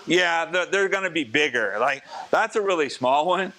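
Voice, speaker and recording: A man, a chef, teaching a live cooking demonstration in a casual, chatty way, slightly hoarse as he is losing his voice. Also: fairly high pitch, expressive, moderate pace, sharp tone. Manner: casual, chatty, talks to the class.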